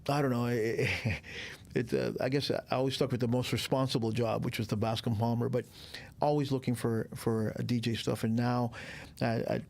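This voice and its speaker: A man in his sixties from Cuba, a guest telling stories in a relaxed, rambling way with many fillers. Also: medium pitch, normal speed, vocal fry. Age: 60s. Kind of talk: casual interview chat.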